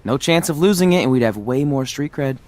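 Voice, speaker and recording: Actor as easygoing young man, goofy sidekick, animated pitch, medium register, casual joking, video game dialogue. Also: a little fast, no room sound, lightly acted. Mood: breezy, joking. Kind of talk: scripted video game cutscene acting.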